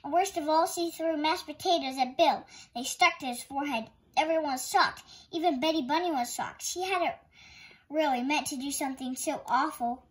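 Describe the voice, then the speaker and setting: A woman reading a children's picture book aloud as a storytime host, in an expressive storytelling style. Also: high-pitched, moderate pace.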